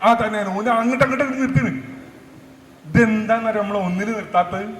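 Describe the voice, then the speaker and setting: A man's voice: sits high, fast, close to an everyday voice. A man with a Malabar Malayalam accent playing a boastful, bossy senior air traffic officer on a comedy stage, bragging and stammering over repeated words. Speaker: skit actor. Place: stage in a large hall. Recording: echoey hall, faint noise.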